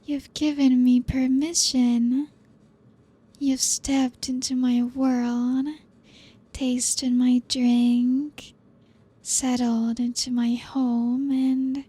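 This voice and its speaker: A young woman voicing a possessive, ancient female vampire in an ASMR roleplay, breathy and whispery, teasing slowly with soft laughs. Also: sits high, exaggerated voice.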